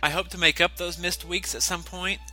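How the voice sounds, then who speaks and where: A man's voice: high voice, fairly even intonation, brisk, pushed and bright. A man hosting a podcast, talking in an earnest, apologetic way and sounding slightly congested from allergies, reading through his notes. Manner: earnest, apologetic, reads notes with filler "you know". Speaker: podcast host. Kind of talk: casual scripted podcast talk. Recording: dry close recording, faint music.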